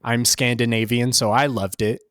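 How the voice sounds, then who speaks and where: A man's voice: medium pitch, level tone, normal speed, a bit bright, a little hiss or hum. A man co-hosting a casual movie podcast, full of playful jokes and banter. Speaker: podcast co-host.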